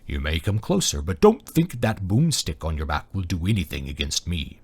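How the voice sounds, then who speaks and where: Booming, weary old dragon. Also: male voice, big pitch swings, normal speed, a little mellow, husky, exaggerated voice. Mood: wry, wary. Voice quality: booming, deep. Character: huge old male dragon, weary, cranky, wry.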